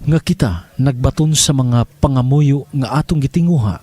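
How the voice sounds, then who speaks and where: A man's voice: medium pitch, normal speed. A man giving a scripted devotional scripture reading over guitar music. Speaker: devotional reader.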